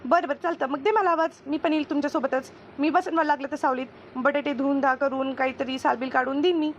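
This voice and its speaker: Woman voicing high, chummy village housewife. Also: steady tone, fast talker, dull audio, a little hiss or hum, close to an everyday voice. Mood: grateful, chatty. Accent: rural Maharashtrian. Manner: chatty, homely, familiar. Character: slower-moving village housewife Renu, grateful, chummy best friend. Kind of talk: comedy cartoon dubbing.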